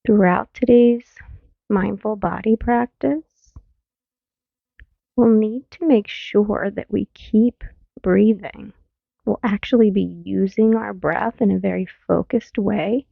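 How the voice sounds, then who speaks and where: A woman mindfulness teacher leading a guided meditation in a gentle, soothing manner, leaving long pauses between phrases. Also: mid-range voice, vocal fry, dry recording. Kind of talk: guided meditation, body scan. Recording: narrow phone-like sound, very dry.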